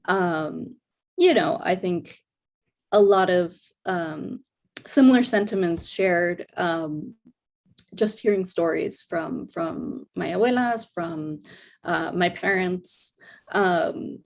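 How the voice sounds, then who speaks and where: A woman from the United States speaking as a panelist, tentative with frequent pauses. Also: fairly low pitch. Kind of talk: casual panel discussion. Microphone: video call.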